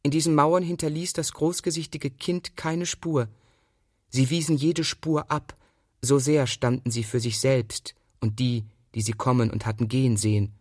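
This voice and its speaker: A man reading a literary text aloud as scripted narration, in a measured and precise manner. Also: medium pitch, fairly even intonation, no room sound, studio quality. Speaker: reader of literary prose.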